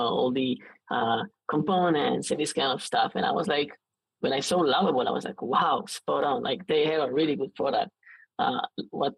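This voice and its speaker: Israeli-accented guy late 20s, kinda husky, dry close sound, casual interview chat, keeps restarting sentences. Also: a little high, no echo, rough recording. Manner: halting, thinks aloud with frequent false starts. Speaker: startup founder, interview guest.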